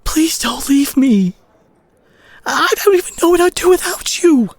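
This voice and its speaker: A man playing a protective, guilt-ridden brawler superhero in an intimate audio drama, speaking earnestly with a husky, breathy voice. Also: extremely high pitch, lively intonation, no echo, heavily acted. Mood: tearful, pleading. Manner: earnest, emotional, halting delivery with sighs. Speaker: audio roleplay voice actor.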